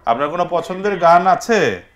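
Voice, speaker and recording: A man's voice: high-pitched, animated, moderate pace, background noise. A man with a Bangladeshi accent hosting a festive talk show, often putting in short affirmations as others speak. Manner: frequent backchannel affirmations. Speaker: TV show host.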